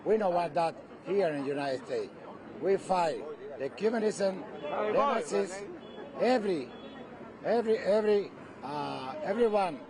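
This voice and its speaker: A man in his fifties from Cuba, with a Cuban Spanish accent, speaking emphatic halting English in a street interview at a rally. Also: high-pitched. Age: 50s. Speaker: rally supporter being interviewed. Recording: noisy crowd outdoors. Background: crowd.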